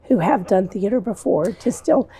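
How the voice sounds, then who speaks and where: A woman's voice: mid-range voice, expressive, normal speed, dark tone. A woman hosting a TV show, interviewing guests in a conversational, encouraging and affirming way. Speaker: TV show host.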